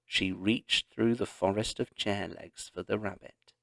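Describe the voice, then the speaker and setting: Male children's audiobook narrator, low and slow, expressive and dramatic. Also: vocal fry, dry recording. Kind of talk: expressive children's story read-aloud.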